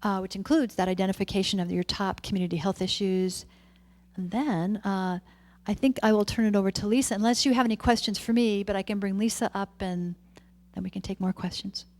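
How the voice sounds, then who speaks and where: A woman's voice: medium pitch, steady tone, fairly quick, a little mellow. A woman, a public health official presenting plans to a city committee in an informal way, walking through her slides.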